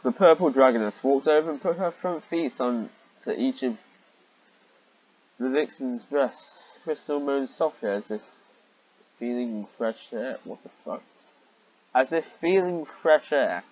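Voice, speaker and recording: Man, mid-to-low everyday pitch, sarcastic reader, incredulous asides, swearing, muffled lo-fi recording. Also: expressive, a little slow, pauses often, darkish tone. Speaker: reader and commentator of amateur fan fiction. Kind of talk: sarcastic live reading with running commentary.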